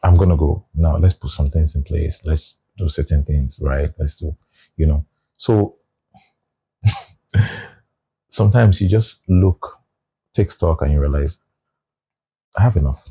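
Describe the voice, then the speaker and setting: A man in his forties with a Nigerian English accent, talking as an interview guest. His voice sits low, with a mellow, husky tone. He reflects aloud in an easy conversational way. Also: unhurried, long pauses. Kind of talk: casual interview chat.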